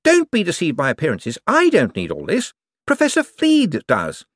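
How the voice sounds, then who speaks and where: A jittery wizard bureaucrat, voiced by a male audiobook reader, who squeaks well above his normal register. His flustered, over-explaining voice leaps around wildly in pitch. The close recording is bone-dry. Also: wildly swooping pitch, moderate pace, a bit bright, no echo, exaggerated voice. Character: nervous, evasive male wizard bureaucrat, middle-aged. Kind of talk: audiobook character voice.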